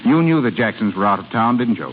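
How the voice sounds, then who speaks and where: An actor playing a suave, teasing secret admirer in a 1930s radio drama, smooth with small hesitations. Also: male voice, mid-range voice, expressive, quick pace, lightly acted. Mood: bold, challenging. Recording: tinny, noisy 1930s radio broadcast.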